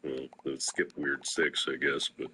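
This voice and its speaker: A man chatting casually as a podcast co-host, a little stuffy and sniffling after an illness, low-key and self-deprecating. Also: flat delivery, unhurried, sharp tone, slight background noise. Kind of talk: casual chat. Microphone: new studio microphone.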